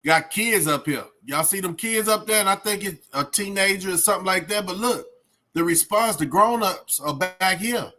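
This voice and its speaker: A man's voice: high voice, normal speed, bright, forceful tone, faint music behind. A middle-aged man giving informal reaction commentary in a colloquial, rambling, slangy way. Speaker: reaction video host.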